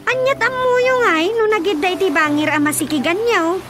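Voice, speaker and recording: Woman acting a very high-pitched nagging daughter. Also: brisk, over music. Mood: scolding, questioning. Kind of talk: comedic radio drama acting.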